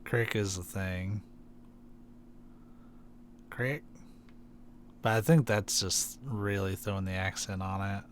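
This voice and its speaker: A man's voice: low voice, steady tone, slow, pauses often, a little hiss or hum. A man from Kentucky chatting casually while playing a game on stream, rambling with lots of fillers and restarts. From Kentucky, USA.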